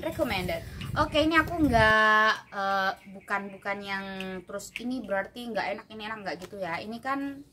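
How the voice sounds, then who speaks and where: A young woman from Indonesia, reviewing food in a relaxed, chatty way, with many fillers and tasting hums. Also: mid-range voice, moderate pace. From Java, Indonesia. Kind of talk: casual food review vlog. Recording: noisy home recording. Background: clear background noise and clicks.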